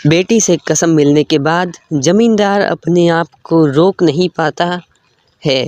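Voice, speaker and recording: Slow, dry storyteller narration. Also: mid-range voice, darkish tone, no echo. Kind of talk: scripted moral-story narration.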